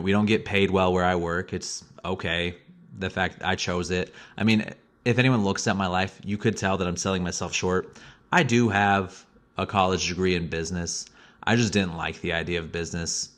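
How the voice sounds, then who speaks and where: Rambling young Missouri man, lowish voice. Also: a bit bright. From Missouri, USA. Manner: rambling, self-deprecating, restarts and asides. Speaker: podcast host. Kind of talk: solo podcast monologue.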